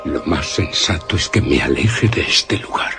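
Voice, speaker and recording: An actor playing an earnest, hesitant and uneasy gentleman with an accent from Spain in a radio suspense drama. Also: very deep voice, expressive, a little slow, soft background music, exaggerated voice.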